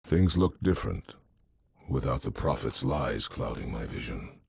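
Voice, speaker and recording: A man playing a solemn, noble alien warrior commander in a video game, speaking in a formal, stately way with a gravelly, husky voice. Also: extremely low pitch, very animated, unhurried, darkish tone, no echo, studio quality, exaggerated voice. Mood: solemn, reflective. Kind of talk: video game cutscene acting.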